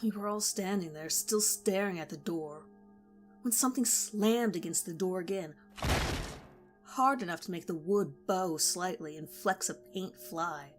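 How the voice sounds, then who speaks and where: A woman narrating a horror story in a measured, steady way. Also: lowish voice, soft background music.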